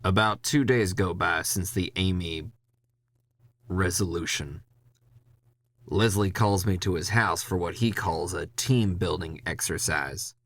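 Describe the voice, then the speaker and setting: Low-voiced man narrating wry noir drama. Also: a bit bright. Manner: wry, gritty, world-weary storytelling. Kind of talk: first-person scripted noir narration.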